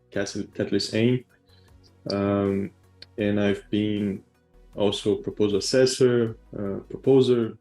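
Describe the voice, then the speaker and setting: A man introducing himself on an online call, listing his roles plainly and clearing his throat. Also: on the low side, mellow. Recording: echoey room.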